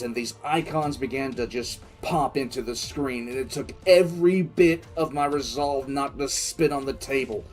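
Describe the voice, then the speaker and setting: A man playing a grim, shaken military commissar who recounts events in a halting, reflective way for an audio drama. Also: mid-range voice, a little mellow, lightly acted. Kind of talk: dramatic first-person audio drama narration.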